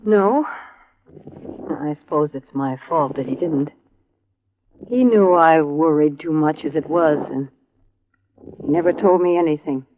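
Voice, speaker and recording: Grieving, anxious American widow. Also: female voice, low-pitched. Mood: subdued. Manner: halting, strained. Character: grieving, anxious middle-aged detective's widow. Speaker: actress in a radio detective series. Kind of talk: 1950s American radio drama acting. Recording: dull, faintly noisy vintage radio recording.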